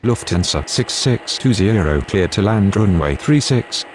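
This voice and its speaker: A man acts as a procedural airline radio voice in a game. He is low-pitched and formal, speaking in clipped set phrases. Faint noise sits under him. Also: expressive, a little slow. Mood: businesslike. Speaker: pilot and air traffic controller voices.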